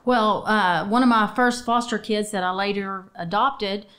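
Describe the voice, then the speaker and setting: A woman in her forties speaks at a medium pitch. She tells her story in a conversational way as an interview guest, close to a studio microphone. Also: steady tone, a little slow, a bit bright. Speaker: children's shelter representative, podcast guest. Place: small podcast studio, indoors. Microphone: close studio microphone on boom arm.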